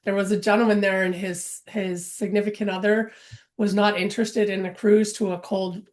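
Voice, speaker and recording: A woman's voice: mid-range voice, little pitch movement, moderate pace, a little mellow. A woman guest on a podcast, telling stories in an upbeat, casual way.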